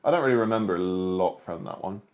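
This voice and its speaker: A British man with a fairly low-pitched voice talks casually as co-host of a book discussion show. His delivery is unscripted and informal, sprinkled with everyday slang. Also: fast, a little mellow. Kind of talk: casual unscripted chat about books.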